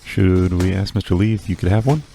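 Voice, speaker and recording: An actor playing a mocking, gravelly-voiced male gym fighter who goads and bullies, in an audio drama. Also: sits low, fairly quick, dark tone, slight background noise. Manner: mocking, goading.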